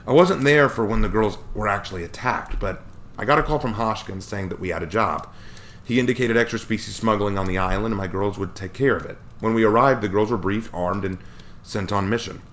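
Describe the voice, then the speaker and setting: A man reading a story aloud and voicing a steady, protective young military man close to his own deep voice. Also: brisk, slight background noise, lightly acted. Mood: earnest.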